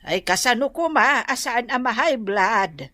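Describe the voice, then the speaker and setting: Older ilocano nanay, scolding and fretting, slowish, highish voice, radio drama. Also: female voice, expressive, sharp tone, no room sound. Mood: gossipy, concerned. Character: fretful, scolding older village mother, comic.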